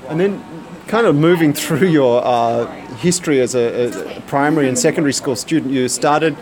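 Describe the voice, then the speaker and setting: Halting male interviewer on a busy street. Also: medium pitch, crowd noise. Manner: halting, repeats words while forming questions. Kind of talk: casual interview questions.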